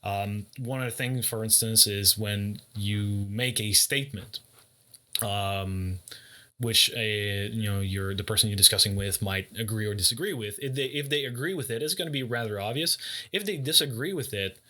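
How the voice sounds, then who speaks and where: Male vlogger, booming and forceful, slightly low-pitched; casual, reflective explainer who pauses and corrects himself often. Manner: thoughtful, explains with many pauses and self-corrections. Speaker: martial arts vlogger. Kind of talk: casual explanatory talk to camera.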